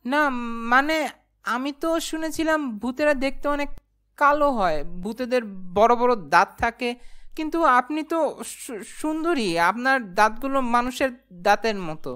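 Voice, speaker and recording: Woman as naive young man, high smooth voice, meek, earnest, polite, cartoon storytelling. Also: expressive, normal speed, fairly bright tone. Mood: nervous. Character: timid, naive, self-pitying young man, foolish but polite. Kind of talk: first-person cartoon storytelling and dialogue.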